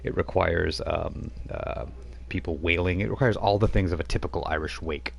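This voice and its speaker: Deep-voiced man running a tabletop game. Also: steady tone, moderate pace, gravelly. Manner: rambling, hesitant, many fillers. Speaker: game master. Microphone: home microphone on a live stream.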